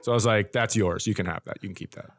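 A man's voice: lowish voice, fast talker. A man hosting a comedy podcast, telling stories casually in a wry, self-deprecating, conversational way.

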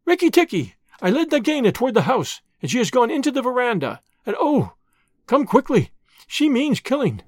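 Narrator as a frantic, high-pitched little female bird. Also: huge pitch swings, normal speed, dry recording, clean studio sound. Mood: panicked. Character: small, frantic female bird crying for help.

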